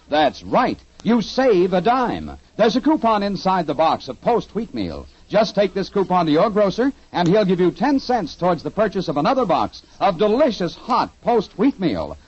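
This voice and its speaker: A man pitches a product in an emphatic 1950s radio commercial. His voice is high and husky and leaps around a great deal, heard through a muffled old recording. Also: darkish tone. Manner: emphatic, salesy. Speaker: commercial announcer.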